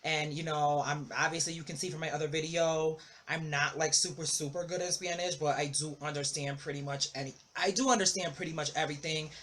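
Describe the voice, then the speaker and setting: A young adult vlogger giving a casual, rambling update, full of "you know" and "like", with excitable outbursts. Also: mid-range voice, fairly quick, hardly any pauses.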